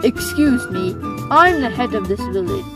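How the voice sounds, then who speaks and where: A woman's voice: a little high, wildly swooping pitch, normal speed, music in the background. A woman voicing a polite, fair-minded village leader in an amateur cartoon comic dub, formal and earnest. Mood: earnest, pleading.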